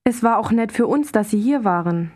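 Woman, slightly high pitch, mellow tone, careful model pronunciation, course dialogue. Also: expressive, normal speed. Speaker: language course speaker. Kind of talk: scripted instructional dialogue.

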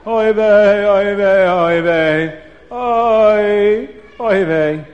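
A man doing a loud, lamenting poor father. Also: high-pitched, extremely slow, big-room sound, lo-fi sound. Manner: loud, lamenting, sing-song sighs. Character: grieving poor father, a middle-aged man.

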